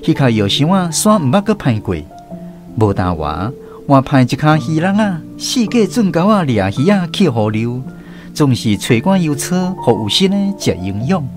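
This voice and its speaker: A man with a Taiwanese accent narrating a radio drama as an expressive storyteller. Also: fairly high pitch, moderate pace, over music.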